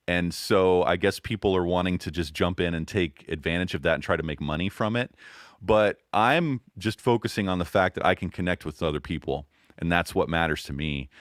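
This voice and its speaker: A man with a boomy voice, a podcast guest explaining microphones and audio settings in a relaxed, casual interview chat. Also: no echo, studio quality. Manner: relaxed, explains gear step by step with fillers.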